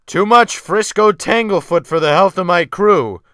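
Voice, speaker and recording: A man's voice: high-pitched, a little slow, pushed and bright, no room sound, clean studio sound, voice pushed up. A man acting a cruel, barking sea captain. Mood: mocking. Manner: barking orders, domineering, mocking. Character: cruel, commanding sea captain, cold and brutal.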